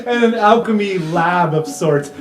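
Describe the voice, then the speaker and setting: A man's voice: sits high, unhurried. A man narrating an improvised tabletop roleplay game as its game master. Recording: roomy sound with music behind.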